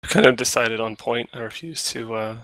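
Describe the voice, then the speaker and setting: A man giving play-by-play commentary on a live online game match, relaxed and hesitant, with many fillers. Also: mid-range voice, a little fast, dry recording, low-quality recording. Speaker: esports commentator.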